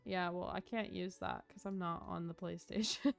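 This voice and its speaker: A woman's voice: on the low side, steady tone, normal speed, gravelly. Casual woman streamer chatting over game music. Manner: informal, chatty, talking to viewers. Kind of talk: casual livestream chat while gaming.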